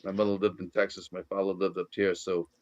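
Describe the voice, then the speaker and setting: A man's voice: low-pitched, little pitch movement, darkish tone, lo-fi sound. Middle-aged boston guy rambling on, lots of uh and um, stops and restarts. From Boston area, Massachusetts. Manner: rambling, halting with restarts and fillers. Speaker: guest. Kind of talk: casual chat.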